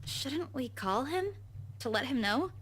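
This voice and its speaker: A woman's voice: high voice, animated, measured pace, a bit bright, husky, full character voice. A woman playing an anxious, caring and proper young woman in a visual novel game. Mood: concerned.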